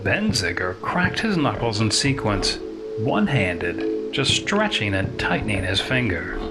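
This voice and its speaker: Slow, expressive male story narrator over music. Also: lowish voice. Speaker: audiobook narrator. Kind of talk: scripted literary narration of science fiction.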